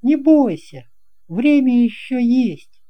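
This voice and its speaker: A narrator voicing a friendly, confident boy pilot in an audio fairy tale, calm and reassuring. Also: mid-range voice, slow, lo-fi sound, pitched above the performer's own voice.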